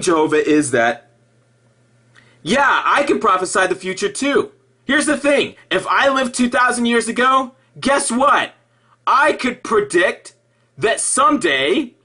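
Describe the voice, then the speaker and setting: A man delivering an improvised sarcastic rant in an exasperated, mocking way, halting and repeating himself, with profanity. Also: high-pitched, sharp tone, slightly rough. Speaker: video commentator.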